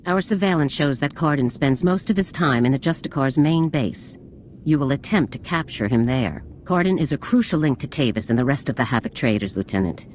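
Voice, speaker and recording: An actress playing a stern female military general who gives brisk, authoritative orders. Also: extremely low pitch, animated, darkish tone, slight background noise. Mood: commanding. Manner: brisk, authoritative, scornful of bureaucrats.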